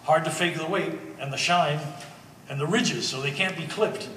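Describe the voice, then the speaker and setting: A man's voice: a little high, a bit bright, close to an everyday voice. Man acting a wry treasure hunter, distant and echoey. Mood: wry, explaining. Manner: halting, thinking aloud, wry wordplay. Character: rambling, wry, bearded treasure hunter, excitable. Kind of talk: live one-act stage play. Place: art gallery performance space. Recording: echoey hall from afar, faint noise.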